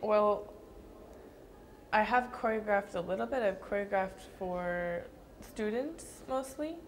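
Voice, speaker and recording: A young woman dancer answering interview questions thoughtfully, with frequent pauses and restarts. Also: medium pitch, slight background noise. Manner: thoughtful, hesitant with fillers and restarts.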